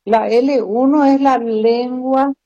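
A woman teacher from Paraguay, explaining things in a measured way in a casual conversation. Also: high voice, steady tone, dark tone. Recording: dull lo-fi sound.

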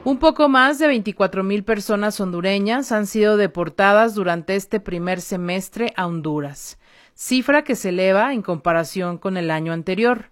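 A woman's voice: medium pitch, moderate pace, no echo. News lady reading a bulletin, dead dry studio sound. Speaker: news anchor.

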